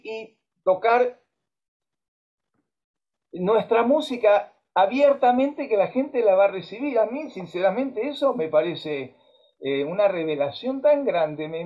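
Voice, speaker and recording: A man in his fifties from Argentina with an Argentine Spanish accent, a musician hosting a casual video-call conversation with lively storytelling, frequent fillers and restarts. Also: sits high. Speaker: musician and host. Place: home music room. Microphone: webcam on a video call. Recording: dull lo-fi video-call audio.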